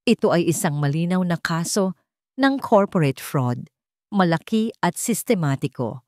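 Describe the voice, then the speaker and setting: A woman voicing a serious male attorney who speaks gravely and with weight. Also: expressive, measured pace, no echo, clean studio sound. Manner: low and heavy, grave.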